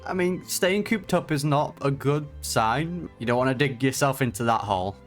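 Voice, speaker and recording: A man reacts casually to a game as he plays. His voice sits in a medium range, and he talks in loose, chatty slang. Soft music can be heard in the background. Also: medium pitch, expressive, normal speed. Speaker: gaming reaction streamer.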